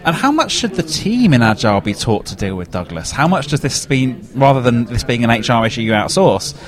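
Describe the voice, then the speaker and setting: A man's voice: mid-range voice, very animated, brisk. A man hosting an interview podcast, upbeat and enthusiastic, asking questions and often saying how great things are. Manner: enthusiastic, lots of "awesome". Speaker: podcast host.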